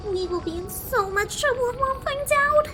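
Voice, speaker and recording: A woman's voice: extremely high pitch, lively intonation, moderate pace, background noise. A woman playing an anxious, stubborn eight-year-old cartoon pony girl with a pleading, childlike manner. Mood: worried. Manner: pleading, childlike, stammering when in trouble. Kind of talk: animated fan audio drama acting.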